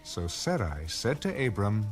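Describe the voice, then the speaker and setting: A man reading scripture as a scripted audio narration, in a measured storytelling manner. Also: on the low side, big pitch swings, faint music behind.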